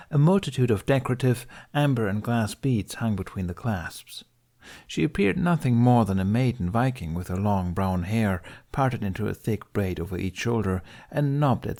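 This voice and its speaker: A man reading an audiobook story as narrator, in expressive scripted narration. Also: fairly low pitch.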